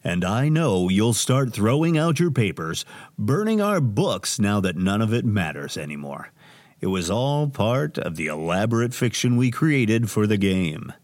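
An actor playing a grandiose, sentimental radio host in an audio drama, with a pompous, melodramatic delivery. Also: male voice, fairly low pitch, very animated, measured pace, a little mellow, no room sound. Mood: wistful farewell.

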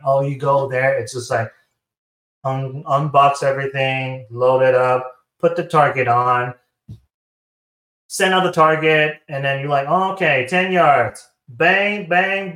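A man's voice: medium pitch, a bit bright. A man from Taiwan with a Taiwanese accent, chatting casually as an interview guest and acting out dialogue as he tells stories. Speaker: guest, sponsored competitive shooter. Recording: roomy, echoing sound.

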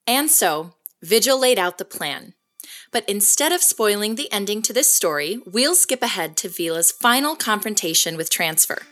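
A woman playing a reflective case historian, giving measured scripted documentary-style narration in an audio drama. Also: mid-range voice, animated, a bit bright, natural voice. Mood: reflective, sympathetic. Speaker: narrator and host.

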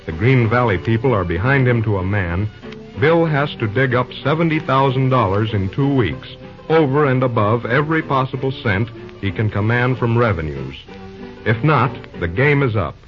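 Male announcer, mid-pitched, declamatory, old radio narration, music behind, dull sound. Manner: declamatory, rhetorical. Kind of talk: scripted dramatic old-time radio narration.

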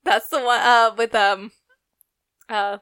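A young woman co-hosting a podcast, talking in a gushing, chatty way and laughing often. Her voice is a little high and very bright, recorded close and dry. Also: little pitch movement, slow pace, smooth, no room sound. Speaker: podcast co-host. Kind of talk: casual chat.

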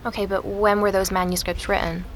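An actress playing a curious, thoughtful young woman investigator in an audio drama, with a creaky voice and an understated manner. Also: mid-range voice, flat delivery, fairly quick, noisy background, close to an everyday voice. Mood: curious, intent. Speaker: lead actor and narrator of an audio drama. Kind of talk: audio drama dialogue and first-person narration.

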